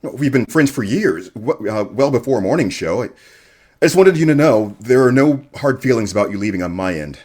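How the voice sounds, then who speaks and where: An actor playing a nervous, deferential radio journalist in an audio drama, hesitant and stammering. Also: male voice, mid-range voice, animated, normal speed, darkish tone, breathy edge. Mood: awkward, friendly. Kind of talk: full-cast audio drama acting.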